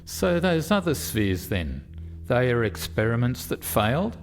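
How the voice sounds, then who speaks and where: Radio play visitor: male actor as curious alien dignitary, frank, measured, medium-pitched. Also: very animated, soft background music. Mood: questioning.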